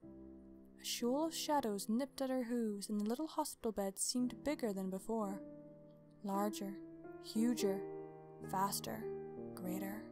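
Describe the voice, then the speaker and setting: A woman narrating a story as host, in an expressive, measured, dramatic storybook style. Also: mid-range voice, music in the background.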